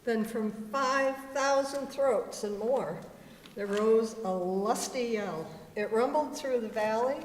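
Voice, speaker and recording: Female poetry reader. Higher voice, measured dramatic delivery in a ringing hall. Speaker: readers theater performer. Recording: echoey hall with faint noise.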